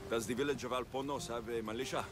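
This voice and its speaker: An actor playing a young, cocky, wry mercenary hero of ancient Greece in a video game cutscene, speaking with confidence. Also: male voice, medium pitch, steady tone, fast talker, noisy background, lightly acted. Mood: assertive.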